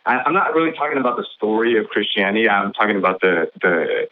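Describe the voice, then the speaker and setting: A man calling in to a show, debating the host in a halting, repetitive, persistent way. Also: on the low side, lively intonation. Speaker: caller to a call-in show. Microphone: phone call. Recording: tinny, narrow phone line.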